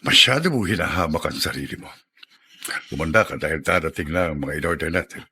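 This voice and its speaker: A man's voice: a bass voice, lively intonation, fast talker, bright, forceful tone, slightly rough, exaggerated voice. A male actor playing an assured, generous congressman in a Filipino radio drama, emphatic and theatrical, with a Filipino accent. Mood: reassuring.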